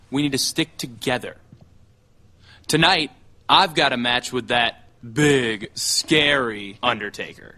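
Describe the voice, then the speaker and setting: A man playing a cocky, scheming young wrestler in a video game cutscene, smooth-talking and persuasive. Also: medium pitch, sharp tone. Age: young adult.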